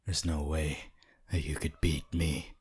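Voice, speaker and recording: A man voicing a cold, proud, brooding teenage hero student in an ASMR audio roleplay, husky and breathy, often near a whisper, with terse, deliberate delivery. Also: extremely low pitch, little pitch movement, a little mellow, full character voice. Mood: defiant. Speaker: roleplay voice actor.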